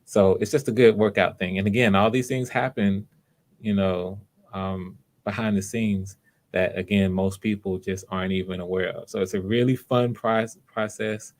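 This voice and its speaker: Male host and casting director, deep-ish voice, flat delivery, low-key and hesitant, casual livestream talk. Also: moderate pace.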